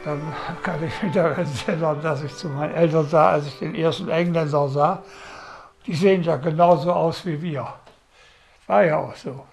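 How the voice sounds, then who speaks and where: A man's voice: on the high side, steady tone, moderate pace. An older man, over seventy, recalling childhood memories as an eyewitness in spontaneous speech. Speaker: local resident, eyewitness. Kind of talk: personal reminiscence. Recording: music clearly under the voice. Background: music.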